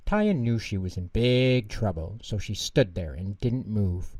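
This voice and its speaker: A man reading a children's bedtime story aloud in an animated narrating style. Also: fairly low pitch, a little slow, studio quality. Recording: roomy echo, distant mic.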